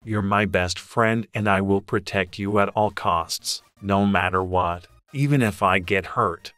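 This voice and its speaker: A man's voice: low voice, unhurried, fairly bright tone, no echo. A man playing a sad, loyal, protective young best friend in a dramatised online story.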